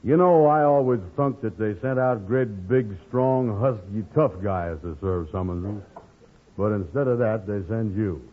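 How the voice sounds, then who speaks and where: A gullible, blustering sitcom lug from 1940s radio. His voice is low and rough with huskiness, and he hems through mangled grammar. The sound is thin and narrow, like an old broadcast. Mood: stubborn. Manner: slow-witted, hemming, mangling grammar. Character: gullible, blustering, soft-hearted big lug of a man. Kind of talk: 1940s radio comedy acting. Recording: tinny, band-limited old radio recording.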